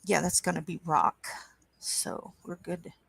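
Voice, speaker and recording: A woman with a croaky voice hosting a coloring livestream, chatting casually and reading chat aloud at an easy pace. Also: lowish voice, fairly even intonation, no echo. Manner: slow, unhurried, reads chat aloud with soft asides. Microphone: webcam.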